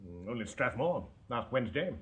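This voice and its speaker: A man playing a curt Victorian gentleman. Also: medium pitch, big pitch swings, moderate pace, close to an everyday voice. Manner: curt and defensive. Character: stiff, defensive Victorian gentleman father. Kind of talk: radio drama acting.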